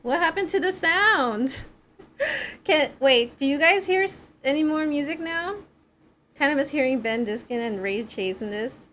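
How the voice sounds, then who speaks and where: A woman's voice: high-pitched, lively intonation, a little slow, a bit bright, slight background noise. A woman live-streaming a game, chatting and reacting informally with casual swearing. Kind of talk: live game reaction, reading cutscene dialogue aloud. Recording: music behind the voice. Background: game music.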